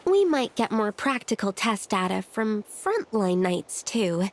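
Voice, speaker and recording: An actress playing a composed, methodical female researcher, measured and clinical, in an anime-style game. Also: mid-range voice, very animated, slight background noise.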